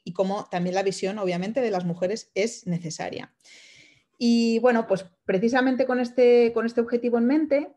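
A woman hosting an online event who introduces the speaker with many halting restarts. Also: mid-range voice, darkish tone. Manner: hesitant, many restarts and fillers. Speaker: event host.

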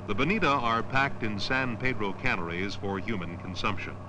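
A man reads scripted educational documentary narration in a measured, declarative way, in the style of around 1970. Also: lowish voice. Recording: noisy old educational film soundtrack.

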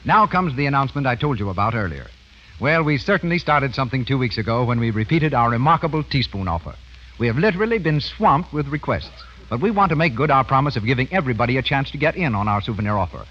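A man's voice: medium pitch, expressive, quick pace. An American man announcing radio advertising in a formal, emphatic 1930s style, enunciating carefully. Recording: muffled 1930s radio broadcast recording.

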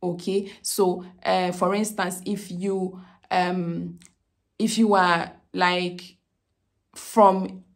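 A woman's voice: lowish voice, flat delivery, very slow. A woman in her thirties with a Ghanaian accent, explaining visa steps to camera in a casual, repetitive way, often checking in with "okay?". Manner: repeats "okay?" often, many fillers and restarts. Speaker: vlogger giving visa advice. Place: small indoor room with plain wall.

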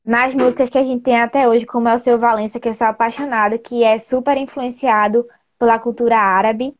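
A woman in her twenties with a northeastern Brazilian accent speaks as a student guest in an online chat. Her voice is a little high, and she explains things casually. She talks into a wired earphone mic at home. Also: fairly even intonation, a little fast. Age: 20s. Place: indoor room at home. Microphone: wired earphone mic on a video call.

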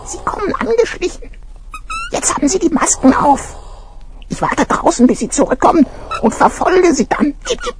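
Eine Frau spielt in einem Kinderhörspiel einen kleinen, aufgeregt piepsenden Vogel, der eifrig Wache hält. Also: female voice, very high-pitched, normal speed, noisy background. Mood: excited, alert. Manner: excited, peppers speech with chirps. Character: small eager cartoon bird, a chirping lookout. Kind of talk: children's audio play acting.

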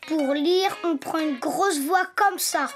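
A very high little boy donkey from a children's cartoon. He talks slowly and theatrically, full of cheeky, boastful imagination. Music plays softly behind the voice. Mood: eager.

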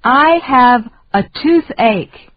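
A woman reading short phrases slowly and deliberately for learners to repeat. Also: a little high, animated, no echo, dull audio. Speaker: English lesson reader.

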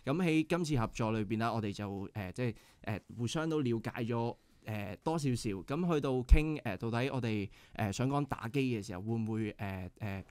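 A young man with a Hong Kong Cantonese accent speaks as a radio guest at a medium pitch. He explains things earnestly and pauses often on filler sounds, heard close and dry. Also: animated, darkish tone, smooth, dry recording. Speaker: band member guest. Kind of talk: casual radio interview. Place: radio studio.

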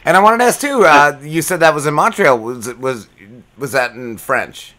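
Man, casual podcast host, eager questions, lively pitch, bright tone, home studio mic. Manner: eager interviewer, quick laughs. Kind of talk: casual chat. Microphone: boom-mounted studio mic.

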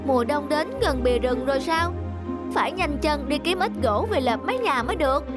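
Kind-hearted cartoon bear, played by a woman: earnest, helpful, high-voiced, with music. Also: expressive, brisk. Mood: concerned, helpful. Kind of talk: children's cartoon voice acting.

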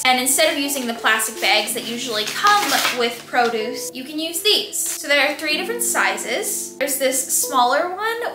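A chatty young woman with a bright, high voice narrates her cleaning day in a casual, upbeat way. She sounds a little distant in an echoey room at home, with music playing under her. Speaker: lifestyle vlogger. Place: rooms of a house, indoors. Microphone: camera on a tripod at a distance.

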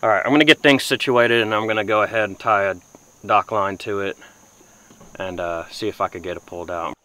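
A young man with a fairly low voice chats casually to the camera in a folksy way. He is out in the open in a small boat, with faint natural noise and insects around him. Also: fairly even intonation, brisk, sharp tone, rough recording. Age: young adult. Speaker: outdoor fishing vlogger. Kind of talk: casual vlog talk to camera. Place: outdoors in a small boat on a river. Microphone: camera mic at close to mid distance.

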